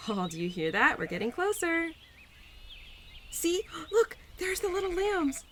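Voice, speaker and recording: A woman voicing a cheerful, playful young spirit of Spring in an audio roleplay, gentle and affectionate with a giggly, easily flustered manner. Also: very high-pitched, big pitch swings, measured pace, slight background noise, exaggerated voice. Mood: excited, playful. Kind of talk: audio roleplay addressed to the listener.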